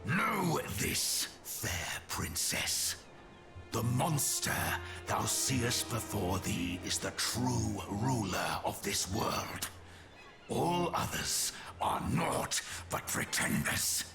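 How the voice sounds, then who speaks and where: An actor playing a menacing, grandiose dragon lord in a fantasy game, with pompous, archaic, commanding speech. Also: male voice, lowish voice, big pitch swings, very slow, gravelly, music in the background, heavily acted. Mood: imperious. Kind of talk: theatrical fantasy game acting.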